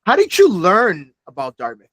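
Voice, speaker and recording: A man in his forties hosts an alumni interview podcast, his voice pitched somewhat high for a man. He sounds close and dry, with no room around him. His questions are friendly and loose, full of small restarts. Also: huge pitch swings, normal speed, fairly bright tone, slightly rough, no echo. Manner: friendly, scripted intro then chatty questions with restarts. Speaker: podcast host.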